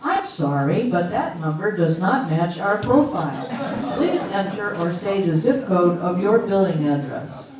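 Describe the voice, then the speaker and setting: A man playing a polite, unyielding automated phone system in a comic readers theater, reciting prompts in a formal, measured way. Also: high voice, very animated, a crowd in the background. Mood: flatly polite. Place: live readers theater stage with audience. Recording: echoey hall, distant mic, audience noise.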